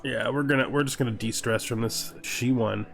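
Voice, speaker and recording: A man's voice: medium pitch, steady tone, moderate pace, gravelly, fairly bright tone, soft background music. A man giving casual gameplay commentary, reading game text aloud and reacting with dry, offhand humour. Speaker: gaming video host.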